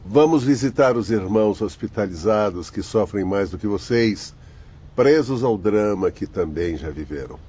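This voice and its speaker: A man's voice: lowish voice, expressive, moderate pace, dark tone, slightly rough, dull audio. A man acting a wise, consoling spirit doctor in an audiobook drama, with a formal, moralising manner. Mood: calm counsel.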